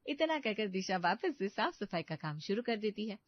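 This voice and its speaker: A woman's voice: medium pitch, sing-song, moderate pace, highs cut off. A woman narrating a scripted story as its storyteller.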